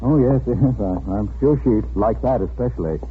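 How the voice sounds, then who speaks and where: An actor playing a mild, evasive, nervous husband in a 1940s radio drama, hesitant and stammering. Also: male voice, mid-range voice, normal speed, a little hiss or hum, lightly acted. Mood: flustered. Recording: tinny old radio recording with music.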